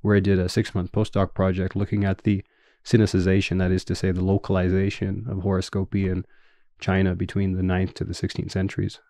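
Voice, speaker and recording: Canadian man, 30s, academic guest; low, mellow voice, measured and explanatory, dry close mic. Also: completely flat delivery, no room sound. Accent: Canadian English. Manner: measured, explanatory, with frequent fillers. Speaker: guest scholar. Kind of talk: academic interview answers.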